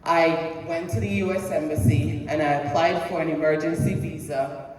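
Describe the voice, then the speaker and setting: A middle-aged woman from Jamaica, giving a personal motivational speech in a slow, deliberate way and putting questions to the audience. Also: deep voice, steady tone, a little mellow, a little hiss or hum. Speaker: presentation speaker. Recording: echoey hall heard from a distance.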